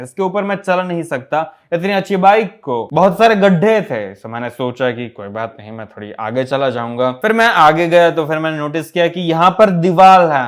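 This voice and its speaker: A young man from Gujarat with a Gujarati accent, telling a story casually to camera as a fitness vlogger, mixing in English words and pausing often. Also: a little high. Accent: Gujarati-accented Hindi. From Gujarat, India. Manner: chatty, mixes English words into Hindi, many pauses.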